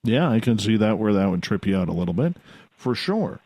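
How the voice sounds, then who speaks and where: Canadian guy hosting late night radio, low voice, swings around a lot, jokey and frank. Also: big pitch swings, a little fast, darkish tone, dry recording. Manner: easygoing, joking, frank. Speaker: radio host.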